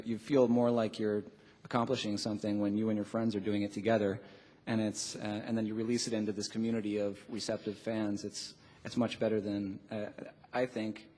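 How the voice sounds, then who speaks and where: A man, a band musician, giving casual, halting interview answers on a theatre stage. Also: lowish voice, level tone, dark tone. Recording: echoey theatre hall.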